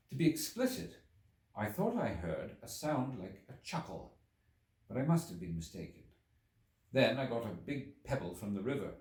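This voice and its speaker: A man with a low voice gives a dramatic audiobook reading of classic literary prose. His delivery is theatrical and highly varied in pitch. The recording has a clear room echo. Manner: theatrical, expressive storytelling. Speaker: audiobook reader. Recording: roomy echo, distant microphone.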